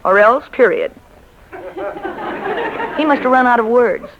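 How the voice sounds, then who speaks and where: An actress playing a sly, teasing secretary in a 1940s radio comedy-drama. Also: female voice, high voice, sing-song, takes it slowly, a crowd in the background, noisy background, exaggerated voice. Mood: deadpan, teasing. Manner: wry, cool, teasing. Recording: muffled old 1940s radio recording.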